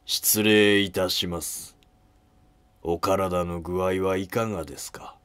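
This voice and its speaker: A man playing a deferential, scheming middle-aged minister of ancient Japan in a historical drama, with measured, formal archaic honorifics. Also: sits low, fairly even intonation, fairly bright tone, smooth, no echo. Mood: hushed, respectful.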